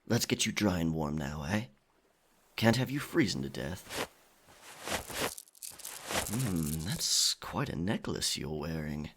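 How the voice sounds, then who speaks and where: A man's voice: sits low, expressive, slow, long pauses, noisy background, exaggerated voice. A man playing a roguish pirate captain, courteous but firm, with gallant old-fashioned phrasing in an intimate ASMR roleplay. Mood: calm, curious. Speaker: audio roleplay performer. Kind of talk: ASMR audio roleplay, intimate dramatic acting.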